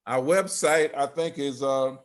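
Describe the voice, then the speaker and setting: An older man giving informal remarks on a group video call in a halting, rambling way. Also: medium pitch. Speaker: theatre company leader.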